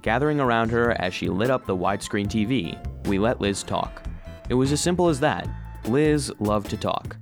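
A man's voice: mid-range voice, expressive, moderate pace, soft background music, natural voice. A man playing an earnest, eager cleaning robot who narrates his own story expressively in a children's audio drama. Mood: warm, nostalgic.

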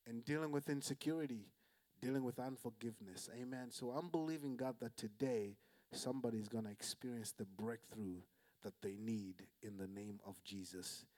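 A man's voice: medium pitch, moderate pace. A man who is a pastor, calling out to the congregation and interviewing a guest in church. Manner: energetic, call-and-response preaching.

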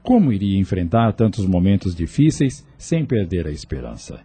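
Dark-voiced Brazilian male radio drama narrator. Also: sits low, very animated, moderate pace, slightly rough.

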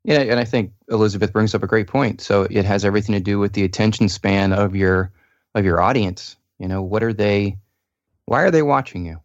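A low-voiced man speaks as a podcast guest, earnest and explanatory, often leaning on "you know." His voice comes through a dull, lo-fi line in a dry space with no room echo. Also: fairly quick. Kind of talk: casual podcast chat.

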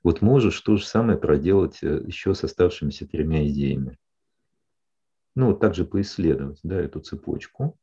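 Low, dark-voiced husky man counseling. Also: moderate pace, muffled recording. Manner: calm, explanatory, often checks in with "да?". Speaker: psychologist. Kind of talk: counseling session.

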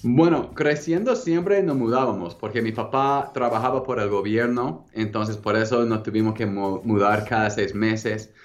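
A man in his thirties with an American English accent, speaking Spanish as a second language as a guest in a casual interview, relaxed and hesitant, with frequent ums and restarts. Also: fairly low pitch, moderate pace, few pauses. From United States. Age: 30s. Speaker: guest entrepreneur.

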